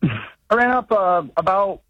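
A man in his early twenties talking haltingly and conversationally as a radio show caller. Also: high-pitched, expressive, no room sound, highs cut off. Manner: hesitant, halting. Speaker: phone-in caller. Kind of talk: conversational call-in.

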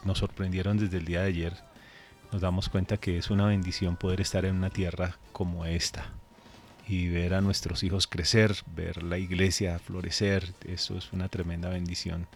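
A man's voice: low voice, little pitch movement, normal speed, soft background music. A man who is a pastor, raised in Colombia, telling a story earnestly and measuredly in a radio interview.

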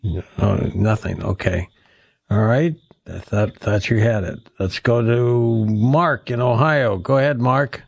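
A man's voice: fairly low pitch, takes it slowly, creaky, husky, no echo. A man hosting a radio call-in talk show, moving between guests and callers.

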